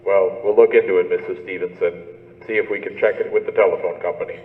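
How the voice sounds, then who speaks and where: Tinny, low-voiced brusque police sergeant acted by a man. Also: fairly even intonation, fast talker. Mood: placating. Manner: patronizing, stolid, placating. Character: unhurried, brusque, dismissive police desk sergeant. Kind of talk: suspense radio play acting. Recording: tinny phone-like band, echoey hall.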